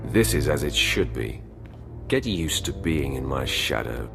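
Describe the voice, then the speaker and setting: An actor playing an ambitious young Sith acolyte in a video game, cool, self-assured and formal. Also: male voice, a bass voice, very animated, unhurried, creaky, music in the background, heavily acted. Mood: cold, proud. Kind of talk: scripted video game drama acting.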